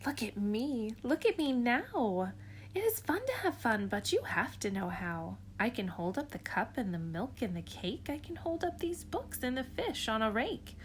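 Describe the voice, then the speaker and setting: A woman's voice: on the high side, very animated, moderate pace, slight background noise, voice pushed up. A woman voicing a mischievous male cat who shows off his tricks, boastful and coaxing.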